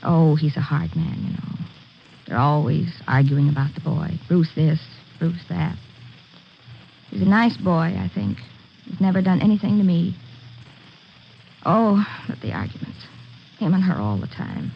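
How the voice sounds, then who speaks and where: A low, gravelly housemaid in a vintage radio crime drama. She is indignant and emphatic, insisting on her discretion while eager to gossip. The recording is dull and aged. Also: animated, measured pace, pauses often, slight background noise, heavily acted. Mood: gossipy. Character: grumbling, defensive, gossipy female day maid. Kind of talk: 1940s-50s radio drama character acting.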